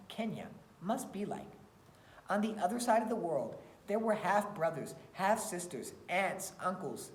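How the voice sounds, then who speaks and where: A woman reading a children's picture book aloud in an expressive storytelling style. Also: medium pitch. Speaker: read-aloud reader. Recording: roomy, echoey sound.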